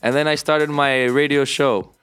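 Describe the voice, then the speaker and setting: A man from Canada and Greece giving casual interview answers as a DJ, often halting to search for words. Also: mid-range voice, steady tone, fairly bright tone, clear, resonant tone. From born in Canada, moved to Greece at thirteen. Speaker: DJ and music producer. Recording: music playing underneath. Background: music.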